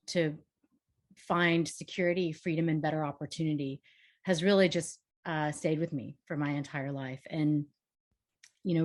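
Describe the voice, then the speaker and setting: Low-voiced woman panelist, earnest. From Vietnam. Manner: earnest, many fillers and restarts. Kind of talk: online panel talk.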